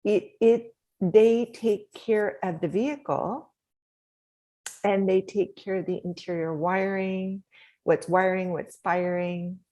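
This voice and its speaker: A middle-aged woman with a soft voice, talking as a podcast guest in an animated, coaching way, laughing often. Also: mid-range voice, slow pace. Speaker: guest, author and speaker. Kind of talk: podcast interview talk.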